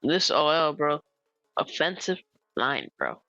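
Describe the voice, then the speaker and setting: A man's voice: a little high, big pitch swings, slow, sharp tone, no room sound, muffled recording, faint music behind. A man in the room with the host, making short casual remarks about the game from off-mic. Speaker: friend in the room.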